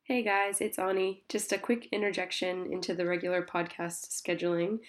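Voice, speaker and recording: A woman's voice: on the low side, flat delivery, normal speed. A woman who hosts a podcast, sounding congested and talking to her listeners in a chatty, apologetic way full of ums. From Tasmania, Australia. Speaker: podcast host. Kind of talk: casual spoken update to listeners. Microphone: phone.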